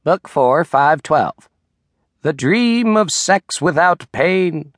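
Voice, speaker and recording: A man's voice: a little high, dry recording, clean studio sound. A man reading literary fiction as an audiobook narrator, in a scripted storytelling style.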